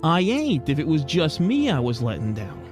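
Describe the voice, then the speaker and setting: A man's voice: fairly high pitch, huge pitch swings, normal speed, music in the background. A man playing a self-doubting, streetwise penguin detective in a radio drama, with American accent and slangy tough-guy talk in an old-time style. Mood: downcast. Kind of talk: 1940s radio detective acting and narration.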